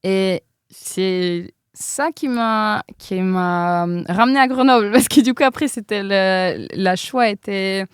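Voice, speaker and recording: A woman's voice: medium pitch, takes it slowly, clear, resonant tone, dry recording, clean studio sound. A young woman, not a native French speaker, telling her exchange-study story in a casual interview, hesitant with frequent fillers. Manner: hesitant, many fillers, laughs often. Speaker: interview guest.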